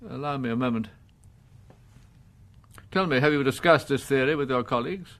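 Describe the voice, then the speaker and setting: Actor as a proud, pompous old English judge. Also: male voice, mid-range voice, a bit bright, close to an everyday voice. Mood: wounded, coldly ironic. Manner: formal, measured, pompous legal diction. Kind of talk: radio drama acting.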